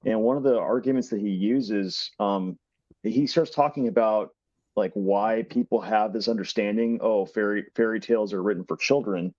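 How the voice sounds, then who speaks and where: A man's voice: on the low side, moderate pace, a little mellow, dry recording. A man co-hosting a podcast, explaining things casually in simple, repeated phrases. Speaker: podcast co-host. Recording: dull, band-limited dry microphone sound.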